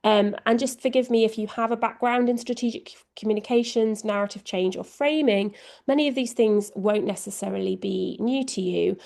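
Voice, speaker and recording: English woman with a gravelly edge. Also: medium pitch, normal speed, darkish tone. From England. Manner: chatty, self-deprecating, many fillers. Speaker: guest speaker, communications co-lead. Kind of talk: online presentation.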